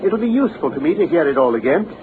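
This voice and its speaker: A self-assured British gentleman detective, precise and articulate, in a vintage radio play. His fairly high voice moves in lively, varied tones. The sound is thin and phone-like, with faint hiss. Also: rapid-fire. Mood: brisk, genial. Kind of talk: old-time radio drama acting. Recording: muffled, phone-like old radio recording with hiss.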